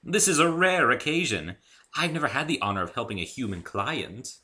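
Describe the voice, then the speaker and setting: A man's voice: mid-range voice, animated, normal speed, bright, forceful tone, pitched above the performer's own voice. A man voicing an elegant, fussy female unicorn fashionista with a refined English accent, dramatic and gushing, in a story reading. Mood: delighted. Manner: dramatic, effusive, addresses people as darling.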